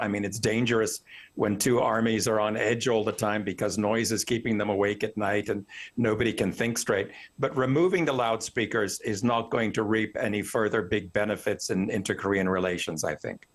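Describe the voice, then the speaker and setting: A man's voice: lowish voice, fairly even intonation, fairly quick. A man speaking as an academic expert in a news interview, explaining his opinions articulately and analytically. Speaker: professor, expert news guest.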